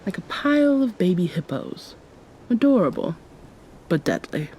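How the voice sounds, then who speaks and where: A woman playing an affectionate, teasing yet commanding succubus pirate captain in an intimate ASMR roleplay, softly spoken and often near a whisper, with formal old-fashioned phrasing. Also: on the low side, very animated, moderate pace, slightly rough, rough recording. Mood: wry storytelling.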